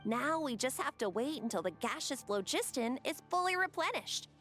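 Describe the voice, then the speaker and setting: A high, caring young organizer. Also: female voice, very animated, moderate pace. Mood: impressed. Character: bright, caring young female arena organizer, slightly anxious. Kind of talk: video game character acting. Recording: loud game music behind the voice.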